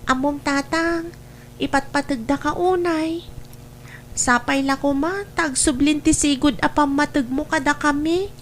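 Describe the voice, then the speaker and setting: A woman's voice: high-pitched, unhurried, slight background noise. A woman with a northern Philippine accent playing a wistful, respectful young daughter in an audio drama. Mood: wistful, hurt. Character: wistful, dutiful young daughter longing for her father.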